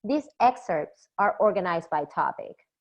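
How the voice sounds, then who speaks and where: Young peruvian woman reading her grad school poster talk, steady and measured, kinda muffled lo-fi audio. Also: fairly low pitch, gravelly. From Peru. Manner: measured, reads from a script. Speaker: PhD student presenting a poster. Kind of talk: read academic presentation. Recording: slightly dull lo-fi recording.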